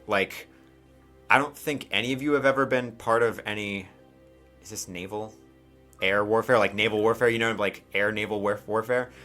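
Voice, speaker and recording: Guy game mastering a tabletop game, lowish bright voice, talks casual and kinda halting, lots of asides. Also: fairly even intonation, faint music behind. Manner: informal, halting, frequently hedging with asides.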